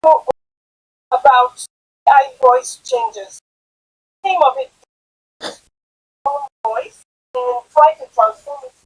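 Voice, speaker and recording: Man's voice AI-changed into high, swooping pop voice. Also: sing-song, drawn-out words, gravelly, pauses often, highs cut off, heavily acted. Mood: singing demo. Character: AI-converted singing pop-star voice.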